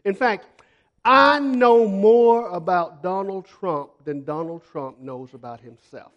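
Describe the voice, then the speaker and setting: A man with a high-pitched voice preaches to a church congregation. He is a missionary who tells folksy stories and repeats phrases for emphasis. His delivery swings from loud to hushed. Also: slow, muffled recording. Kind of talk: sermon with storytelling.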